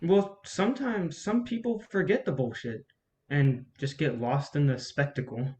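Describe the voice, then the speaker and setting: A man's voice: a little high, a little slow, darkish tone, natural voice. Guy acting young cynical man in a stage drama, curious and smitten, natural delivery. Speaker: actor in a staged play reading. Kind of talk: naturalistic staged play reading.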